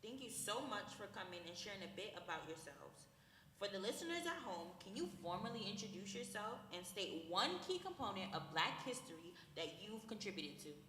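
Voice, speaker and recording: A woman's voice: mid-range voice, very animated, a little fast, fairly bright tone. A woman acting as talk show host in a church skit, reading a script with small slips. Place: church hall. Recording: echoey hall with long reverb, faint noise.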